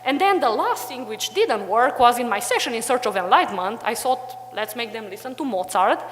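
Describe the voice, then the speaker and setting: A woman's voice: fairly high pitch, expressive, normal speed, big-room sound, a little hiss or hum. A young woman from Transylvania giving a chatty conference talk about teaching history, full of fillers. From Romania, Transylvania. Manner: chatty, many fillers like "like" and "you know". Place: hotel conference room.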